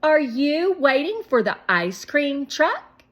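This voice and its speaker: A woman's voice: sits high, sing-song, takes it slowly, muffled recording, voice pushed up, exaggerated voice. A woman voicing a curious, friendly young neighbor girl in a storybook, inquisitive and chirpy.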